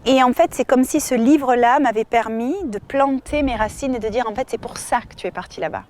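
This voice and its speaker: Higher-voiced woman telling a story. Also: brisk. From southern France. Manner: lively storytelling, with fillers and restarts. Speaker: novelist. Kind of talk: casual interview answers. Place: outdoor festival.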